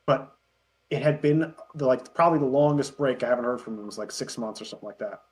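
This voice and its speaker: A man chatting casually as an interview guest, telling stories in an animated way and acting out the dialogue. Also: mid-range voice, fast. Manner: animated storyteller who acts out quoted dialogue. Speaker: interview guest, web parody creator. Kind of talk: casual anecdotal chat.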